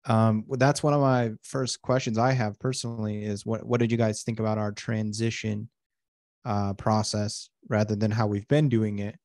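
A man's voice: on the low side, flat delivery, moderate pace, darkish tone, no room sound, studio quality. A man running an online meeting, talking casually and joking around. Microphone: video call.

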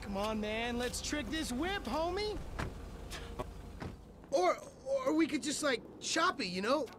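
Whiny young American slacker, high-pitched. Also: male voice, expressive, takes it slowly, full character voice. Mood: wheedling, cocky. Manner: wheedling, slangy, wannabe cool. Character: whiny, spoiled slacker son, young man. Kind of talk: video game cutscene acting. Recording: noisy vehicle ambience behind voice.

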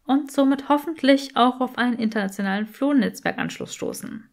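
Woman voicing a high-pitched, bookish young witch. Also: a little fast, creaky. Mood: earnest. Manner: precise, enthusiastic explaining. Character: curious, bookish, easily exasperated young witch.

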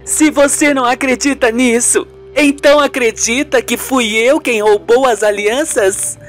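A woman's voice: a little high, unhurried, soft background music, lightly acted. A woman playing an earnest, accusing female friend in an amateur radio soap, explaining things insistently. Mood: defensive.

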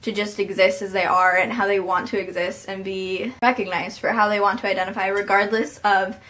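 A young adult speaks earnestly into a webcam in a small bedroom, at a mid-range pitch. The talk is reflective and unscripted, full of ums and likes, with the hands moving as the thoughts come. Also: flat delivery, brisk, pushed and bright, rough recording. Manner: earnest and reflective, many fillers, talks with hands. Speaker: vlogger. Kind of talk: casual talking-to-camera vlog. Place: small bedroom with posters, indoors.

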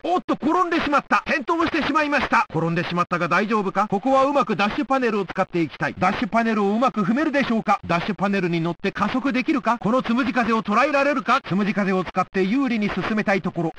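A man playing an excitable old-time stadium announcer, giving formal, emphatic play-by-play for a sports video game. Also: high voice, a little hiss or hum. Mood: alarmed. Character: excitable male 1960s Olympic stadium announcer.

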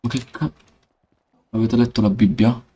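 A man's voice: mid-range voice, steady tone, normal speed, dark tone. A man casually bantering and trolling as he plays an online game, mock-scolding in slangy speech. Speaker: streamer playing and trolling on a roleplay server.

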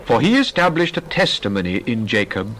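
A man giving a dramatized Bible reading in a solemn, measured way. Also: medium pitch, very animated, a bit bright, slight background noise. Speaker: scripture reader.

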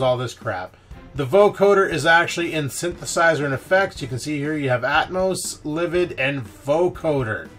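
A man's voice: fairly high pitch, a bit bright. A man hosting a casual screen-recorded tech tutorial, talking informally with slang and mild swearing. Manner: informal, slangy and mildly profane. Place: indoor voice-over of a screen recording.

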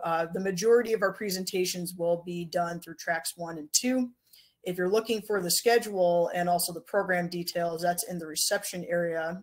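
A young woman giving casual housekeeping announcements for an online conference over a video call, with lots of filler words. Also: lowish voice. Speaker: conference organizer. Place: home room on a video call.

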